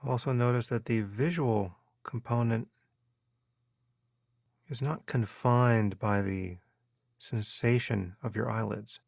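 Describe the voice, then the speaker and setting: A man guiding a meditation as a teacher, giving measured instructions with unhurried pauses. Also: medium pitch, gravelly, darkish tone, dry recording, clean studio sound. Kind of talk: guided meditation narration.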